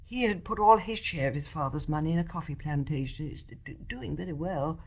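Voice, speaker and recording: An older actress with an English accent playing a genteel, kindly mother with a measured manner in a radio play. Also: low voice, a little mellow. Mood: tender, sorrowful.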